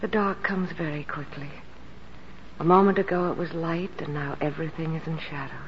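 A woman's voice: sits low, steady tone, normal speed, a little hiss or hum, lightly acted. An actress playing a frail, devoted woman pianist who is ill, speaking tenderly and wistfully in a 1940s radio drama. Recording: muffled 1940s radio recording, music behind.

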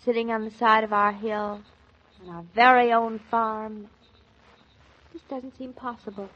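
An actress in a 1940s radio drama playing a playful, tender young newlywed wife, with a bright, teasing and dreamy way of talking on an old transcription. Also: female voice, on the high side, fairly even intonation, a little slow, lo-fi sound. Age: young adult.

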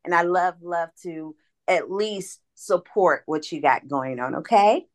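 A woman hosting a podcast, bubbly and quick to laugh, talking in an exclamatory, chatty way. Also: on the low side. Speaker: podcast host. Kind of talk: casual interview chat.

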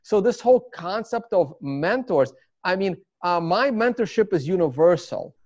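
A man's voice: high-pitched, animated, normal speed, darkish tone, no room sound. A man from Russia with a Russian accent, a native Russian speaker, talking as an interview guest in a rambling way, full of fillers and restarts. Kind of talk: casual interview talk. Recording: dry, slightly dull sound.

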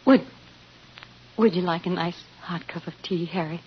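An actress playing a nervous, evasive wife with an anxious, hesitant, stammering manner in 1940s radio drama style. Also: female voice, fairly low pitch, normal speed, lightly acted. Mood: nervous, pleading. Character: nervous, evasive wife hiding something from her husband. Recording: dull, faintly noisy vintage radio.

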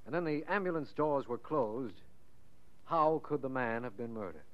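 An actor playing a genial, matter-of-fact official consulting a detective in a 1940s radio drama. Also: male voice, mid-range voice, lively intonation, moderate pace, natural voice. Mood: intrigued, genial. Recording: dull, lo-fi 1940s radio recording.

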